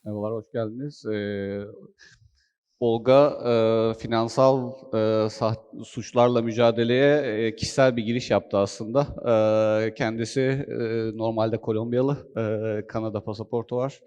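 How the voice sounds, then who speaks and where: A man's voice: on the low side, steady tone, measured pace, a little mellow, clear, resonant tone, highs cut off. A man summarizing a colleague's talk at a conference, hesitant with many fillers. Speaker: presenter, consultant. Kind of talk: conference presentation. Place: conference hall. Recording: long hall reverb.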